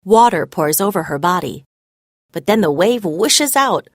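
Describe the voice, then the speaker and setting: A woman narrating a scripted story as a read-aloud, expressive and clearly phrased. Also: fairly low pitch, measured pace, dry recording, studio quality.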